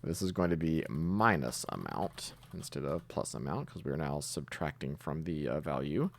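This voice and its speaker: A man's voice: very deep voice, lively intonation, moderate pace, gravelly. A man giving an informal coding tutorial, explaining step by step and thinking aloud as he types. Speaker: programming tutorial host.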